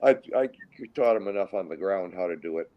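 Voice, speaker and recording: Low-voiced older Minnesota man, halting. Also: lively intonation, lo-fi sound. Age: 60s. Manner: rambling, halting, with frequent restarts. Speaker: guest pilot. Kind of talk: casual storytelling interview.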